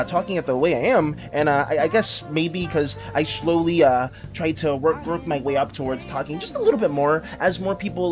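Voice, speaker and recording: A man livestreaming in casual chat, rambling and stammering as he thanks viewers, with a slightly hoarse, dry voice. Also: fairly high pitch. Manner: rambling, stammering, repeats words; reads chat messages aloud and thanks viewers.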